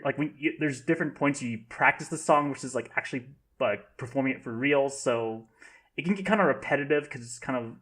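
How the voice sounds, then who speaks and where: A man with an average-pitched voice talks casually as a podcast panelist. He explains in a halting, rambling way, like someone thinking it through as he speaks. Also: a bit bright. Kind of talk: casual discussion of video games.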